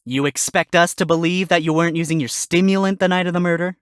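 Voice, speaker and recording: A man's voice: a little high, fairly even intonation, fast, clean tone, no echo, clean studio sound, voice pushed up, natural voice. A man voicing a wry, sarcastic fox defense attorney who wisecracks through a fan fiction audio story. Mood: wry, confident.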